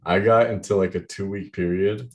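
Young man, very low deep voice, loose rambling chat, heavy fillers. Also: steady tone, moderate pace. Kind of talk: casual chat about music.

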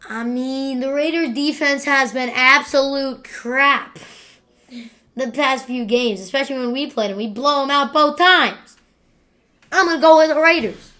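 A woman hosting a casual sports podcast, talking loudly and excitably and teasing her guest. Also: high voice, measured pace. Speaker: podcast host. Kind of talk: casual sports picks chat.

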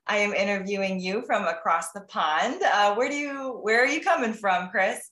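A woman's voice: mid-range voice, normal speed, fairly bright tone. A woman hosting a podcast, upbeat and encouraging as she introduces her guest and talks with him. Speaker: podcast host. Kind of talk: podcast interview.

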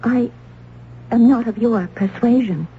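High-voiced, defiant young maiden. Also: female voice, measured pace, husky, phone-quality sound. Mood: resolute. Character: frail, defiant young accused witch maiden. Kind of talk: old-time radio drama acting. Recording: thin, noisy old radio recording.

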